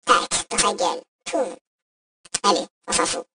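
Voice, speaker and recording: A woman's voice: on the low side, a little slow. A woman playing a cheeky, insulting robot voicemail service in an improvised comic skit, rambling and mock-official through a robot voice effect. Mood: flippant. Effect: robot voice modulator. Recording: distant, noisy phone app recording.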